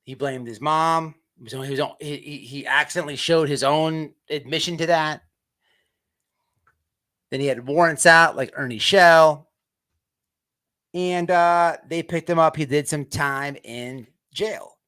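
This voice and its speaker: A man hosting an online show with off-the-cuff livestream commentary, halting as he repeats words and restarts. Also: a little high, sharp tone.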